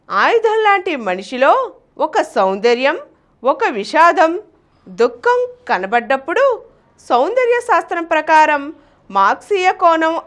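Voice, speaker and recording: Female host for a literary programme: high voice, slow delivery, formal scripted narration. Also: fairly bright tone. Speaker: channel host and presenter. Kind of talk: scripted literary review narration with recited verse.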